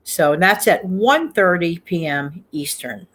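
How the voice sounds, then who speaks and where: A woman's voice: fairly low pitch, expressive, slow pace, a bit bright, a little hiss or hum. Chatty woman hosting a casual show. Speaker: show host. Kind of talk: casual livestream chat.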